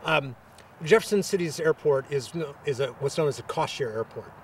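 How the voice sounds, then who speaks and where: A man answering press interview questions as a local official, with halting, hesitant phrasing. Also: a little high, animated, far from the mic, a little hiss or hum.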